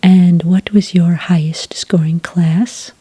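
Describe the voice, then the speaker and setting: A woman playing a friendly, patient licensing clerk in a soft, breathy ASMR roleplay, speaking gently and politely close to the microphone. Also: fairly low pitch, steady tone, slight background noise. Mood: calm, polite. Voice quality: breathy, soft, near-whisper. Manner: gentle, unhurried, politely official. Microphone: close, dry microphone.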